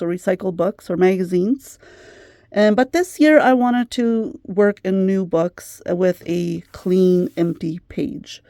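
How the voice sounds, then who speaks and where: A middle-aged woman hosting a craft vlog who chats gently with plenty of fillers, her voice slightly worn as if she is losing it. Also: medium pitch, no echo, studio quality. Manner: gentle, chatty, many fillers. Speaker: craft channel host. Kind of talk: casual craft vlog chat.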